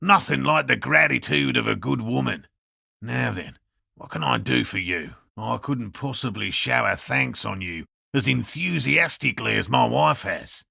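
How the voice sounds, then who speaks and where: An eccentric male cryptozoologist from a video game, earnest and polite to a fault. His voice is bright and edged with heavy fry, swinging expressively in pitch. He phrases things precisely, like a devoted field scholar. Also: animated, normal speed, dry recording, studio quality, exaggerated voice. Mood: polite, measured. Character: earnest eccentric male cryptozoologist scientist, obsessive but courteous. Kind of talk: video game dialogue voice lines.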